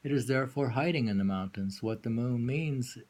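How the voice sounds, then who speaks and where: A man giving an informal poetry reading with commentary, musing haltingly and repeating phrases as he explains. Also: mid-range voice, darkish tone.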